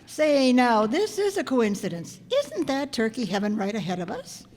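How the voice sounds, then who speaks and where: A woman's voice: a little high, very animated, moderate pace, a little mellow, slight background noise. A woman playing a wry, scheming, lovestruck schoolteacher with dry wisecracks, in old-time radio comedy style. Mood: coy, delighted. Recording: echoey hall, long reverb.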